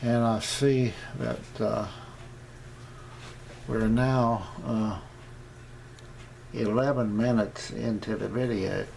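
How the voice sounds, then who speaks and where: A man's voice: lowish voice, steady tone, takes it slowly, vocal fry, pauses often, a little mellow. A man hosting a casual amateur radio demonstration, talking in a hesitant, meandering, plain-spoken way on a noisy home video. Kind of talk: rambling casual demonstration to camera. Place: indoor home radio shack.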